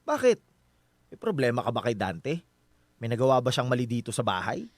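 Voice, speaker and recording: A man's voice: medium pitch, huge pitch swings, brisk, dry recording. A man acting as a trusting, stubborn husband in a Filipino radio drama, talking in a casual, persuasive way. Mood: defensive.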